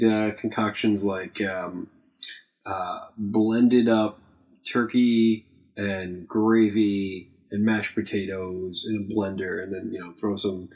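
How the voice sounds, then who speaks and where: A young man chatting casually, rambling with many ums and uhs and trailing off quietly. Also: fairly low pitch, low-quality recording. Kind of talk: casual podcast chat.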